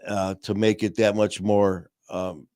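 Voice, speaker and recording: A man hosting a casual live stream, talking warmly to viewers in a rambling way with many fillers and restarts. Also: fairly low pitch, flat delivery, measured pace, no room sound, clean studio sound. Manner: friendly and upbeat, addressing viewers. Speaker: livestream host.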